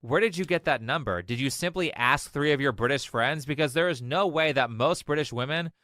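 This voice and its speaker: A man's voice: fairly high pitch, fairly quick, sharp tone, no echo, studio quality. A man giving opinion commentary for YouTube in an emphatic, scornful manner.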